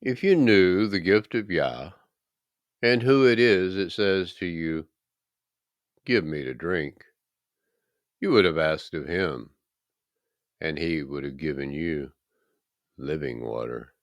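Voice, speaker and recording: A deep-voiced, authoritative holy teacher, read by a man in a scripture narration. He makes solemn pronouncements very slowly, with weighty emphasis on key words. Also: no room sound, exaggerated voice.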